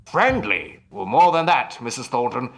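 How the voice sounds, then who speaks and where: An actor playing a self-assured nightclub mind reader in an old-time radio mystery, with a formal, emphatic, measured delivery. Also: male voice, medium pitch, sing-song, creaky, soft background music. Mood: grave, confident.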